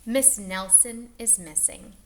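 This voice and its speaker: A woman teacher reading a picture book aloud to young children, expressive and stretching words for emphasis. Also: mid-range voice, slow, creaky, slight background noise.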